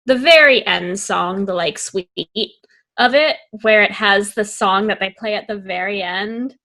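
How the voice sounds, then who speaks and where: A young woman co-hosts a casual podcast and talks with friends in an unhurried way. Her voice is bright and forward. She laughs often and leans on fillers like "like" and "um.". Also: mid-range voice. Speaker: podcast co-host. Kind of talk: casual chat.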